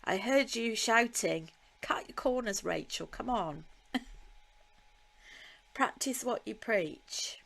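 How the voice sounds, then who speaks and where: Slow, chatty woman teaching beadwork. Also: a little high, expressive, creaky, fairly bright tone. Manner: chatty and slow, with laughs and ums, talking to viewers by name. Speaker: beading tutor. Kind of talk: live-stream craft tutorial.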